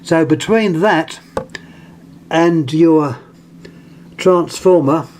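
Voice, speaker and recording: A British man giving a casual hands-on demonstration, speaking haltingly and pausing mid-phrase as he handles things. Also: fairly high pitch, darkish tone, slight background noise. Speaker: vintage radio collector presenting an item. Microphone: handheld camera, close to the item.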